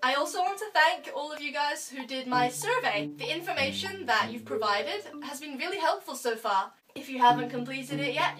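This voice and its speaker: A woman's voice: high-pitched, recorded from a distance. A young woman from Scotland, a YouTuber answering fan questions in a bubbly, playful vlog. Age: young adult. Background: loud background music.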